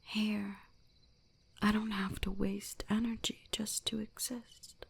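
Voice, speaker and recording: A woman voicing an ancient, possessive, gently dominant kitsune shrine spirit in intimate ASMR roleplay, breathy and often whispering in a soothing, commanding way. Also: medium pitch, flat delivery, takes it slowly, full character voice. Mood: tender, coaxing.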